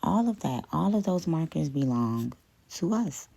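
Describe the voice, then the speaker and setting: A woman's voice: deep voice, big pitch swings, unhurried, vocal fry, mellow, a little hiss or hum, voice pushed up, heavily acted, low-quality recording. A woman putting on a cheerful older man. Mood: eager.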